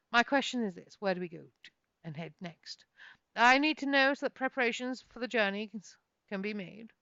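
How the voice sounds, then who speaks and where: A woman voicing a snooty, imperious nobleman in a storybook, pompous, halting and emphatic. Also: a little high, bright, forceful tone, clear, resonant tone, no echo, highs cut off, voice pushed up. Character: haughty, imperious nobleman cousin, snooty and demanding.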